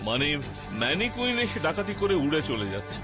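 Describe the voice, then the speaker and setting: A man playing a polite, worried gentleman in a cartoon, speaking hesitantly and anxiously. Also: on the high side, big pitch swings, quick pace, music in the background. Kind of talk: cartoon dubbing in Bengali.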